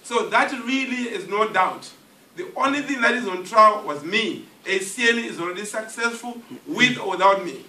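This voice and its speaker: Bright-voiced man in an echoey hall. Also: sits high, normal speed. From Zimbabwe. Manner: jovial, jokes with the crowd. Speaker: guest speaker giving a testimony. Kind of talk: motivational testimony to an audience. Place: meeting room in a hotel. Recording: echoey hall, distant mic, faint noise.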